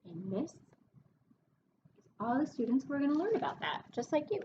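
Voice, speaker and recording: A woman librarian reading a picture book aloud to children, expressive and explanatory, pausing to speak to her young listeners. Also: high voice, lo-fi sound, background noise. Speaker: children's librarian hosting story time.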